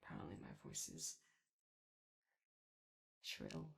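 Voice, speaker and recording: A woman voicing an anxious, gentle male animatronic daycare robot in ASMR roleplay, with a strained, raspy voice and hesitant, apologetic speech. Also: slow pace, natural voice. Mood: insecure, wistful. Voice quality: strained, raspy, creaky, as if voice lost from yelling. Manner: hesitant, nervous, stammering, apologetic. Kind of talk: ASMR roleplay comfort audio.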